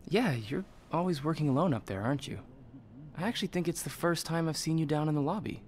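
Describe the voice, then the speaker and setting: A man's voice: a little high, expressive, fast, a little mellow, slight background noise. A man voicing a friendly, joking young hotel worker, easily flustered, in a narrative video game. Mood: chatty, amused. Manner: informal, joking.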